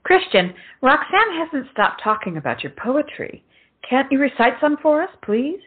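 A woman playing a very animated, gossipy young friend. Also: high voice, moderate pace. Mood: teasing, coaxing. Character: flighty, gossipy, man-admiring young lady friend. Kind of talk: stage comedy acting. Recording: tinny, narrow-band sound.

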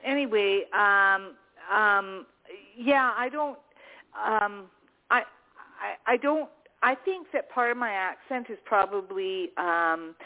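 Canadian woman chatting on a phone line. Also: medium pitch. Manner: chatty, searching for words. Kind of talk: casual call-in show chat.

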